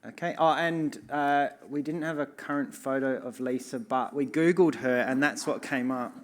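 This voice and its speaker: A man's voice: medium pitch, moderate pace. A man chairing a conference session, casual and hesitant with many fillers. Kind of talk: conference presentation.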